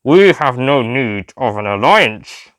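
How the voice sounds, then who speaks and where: A man's voice: mid-range voice, sing-song, slow, a bit bright, dry recording. A man voicing a cold, logical cyborg leader in an audio story, slightly human but robotic and commanding. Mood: cold, demanding.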